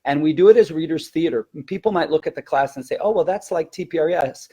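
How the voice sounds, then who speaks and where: A man's voice: a little high, quick pace, mellow, slightly rough. A man speaking as a language teacher and presenter in a conversational training webinar, emphatic, with rhetorical questions and stop-and-start phrasing.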